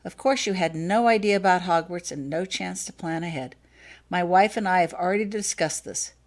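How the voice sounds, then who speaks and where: A woman voicing a courteous, quietly commanding wizard father in an audiobook story, speaking smoothly and persuasively. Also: fairly low pitch, moderate pace, lightly acted. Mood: reassuring. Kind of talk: acted fanfiction audiobook dialogue.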